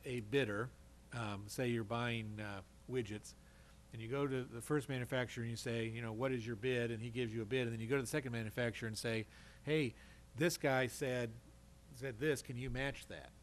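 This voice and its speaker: A man of medium pitch explains carefully to a council, one step after another. He speaks as an adviser in a public meeting, with faint noise underneath. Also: fairly even intonation, fairly quick.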